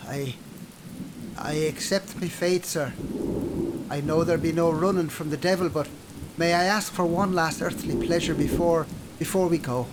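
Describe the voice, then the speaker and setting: A man's voice: a little high, steady tone, moderate pace, very noisy, close to an everyday voice. A man playing a wily, cheeky Irish drinker and trickster in a radio play, wheedling in folksy old-fashioned dialect. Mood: pleading. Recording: loud music and rain behind.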